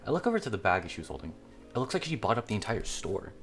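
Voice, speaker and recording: A man's voice: on the low side, big pitch swings, quick pace, fairly bright tone, soft background music. A man reading a visual novel aloud as a gaming video creator, with casual commentary.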